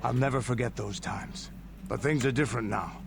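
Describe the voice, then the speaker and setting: An actor playing a proud, gruff veteran alien warrior in a video game, telling war stories in a gravelly, deliberate, boastful manner. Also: male voice, mid-range voice, close to an everyday voice. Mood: weary. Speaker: game character. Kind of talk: dramatic scripted video game dialogue. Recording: game audio under steady noise.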